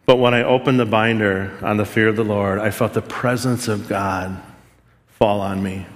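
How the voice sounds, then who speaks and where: Low-voiced man preaching in a reverberant hall. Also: steady tone, moderate pace. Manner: earnest, self-deprecating storytelling to students. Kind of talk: sermon with personal story.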